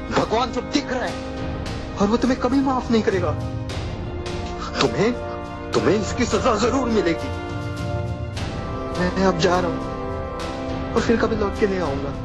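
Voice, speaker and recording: An actor playing a blind young man who pleads and then speaks bitterly in a radio play. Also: sits high.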